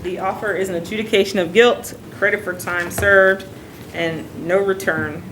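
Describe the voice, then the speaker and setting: A woman's voice: mid-range voice, steady tone, normal speed, fairly bright tone, slight background noise, low-quality recording. A woman acting as prosecutor in court, formally reading out the terms of a plea offer as a list.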